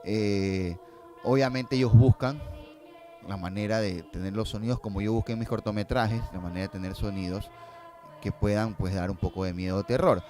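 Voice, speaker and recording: A man's voice: fairly low pitch, fairly even intonation, moderate pace, warm tone, soft background music. A man speaking as a filmmaker guest in a casual interview, explaining and telling anecdotes, often tagging phrases with a questioning "no?".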